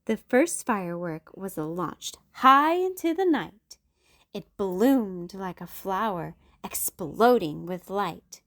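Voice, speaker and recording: A woman hosting a storytime, reading a rhyming picture book aloud in an expressive, sing-song way with big leaps in pitch. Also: takes it slowly. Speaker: children's book reader.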